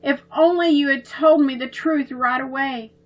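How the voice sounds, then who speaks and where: A woman voicing a kind older brother in a storybook, gently firm and patient as he teaches his sisters. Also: sits high, fairly even intonation, normal speed, faint music behind, voice pushed up, close to an everyday voice. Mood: gentle.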